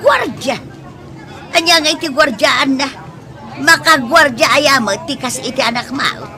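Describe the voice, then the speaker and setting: A woman's voice: sits high, lively intonation, measured pace, crowd noise. A woman acting a scheming, goading village mother in a Philippine radio drama. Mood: indignant. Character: scheming village mother, sharp and goading toward her son.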